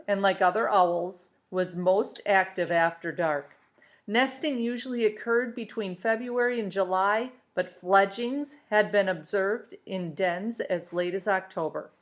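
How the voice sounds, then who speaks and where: A woman reading a children's novel aloud in an expressive storytelling style. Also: mid-range voice.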